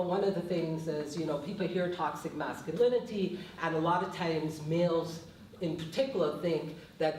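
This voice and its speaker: A woman's voice: low voice, a little hiss or hum. Guyanese-accented woman professor, thoughtful panelist, distant mic in a very echoey room. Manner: thoughtful, often adds "right?". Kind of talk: panel discussion talk.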